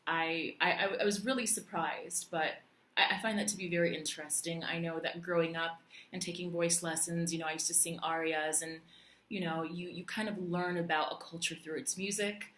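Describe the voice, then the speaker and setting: A woman from Puerto Rico vlogging in a chatty, animated way, with lots of fillers and restarts. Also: lowish voice, normal speed, slight background noise. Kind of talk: casual talk to camera. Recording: echoey room.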